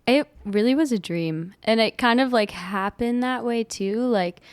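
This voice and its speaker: A woman in her late twenties from Nashville, a singer-songwriter guest on a podcast, bubbly and upbeat and quick to laugh. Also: on the high side, dry recording. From Nashville, United States. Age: 20s. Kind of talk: casual interview chat.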